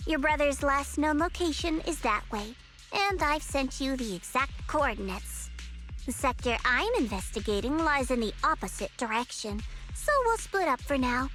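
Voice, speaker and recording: A woman voicing a proud young female investigator in an anime-style game, smug, teasing and brisk in manner. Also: sits high, expressive, fairly bright tone, faint music behind. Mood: businesslike. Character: proud, haughty young female investigator boasting of her record.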